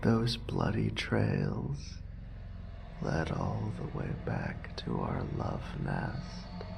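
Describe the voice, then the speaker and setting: Unhinged yandere boyfriend for horror audio, low slow creaky whisper, breathy n possessive. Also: male voice, wildly swooping pitch, over music, exaggerated voice. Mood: obsessive, crooning. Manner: breathy whispering, drawn-out sighs, lingering repetitions. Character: obsessive, murderous male yandere lover, unhinged and possessive. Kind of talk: horror roleplay audio drama monologue.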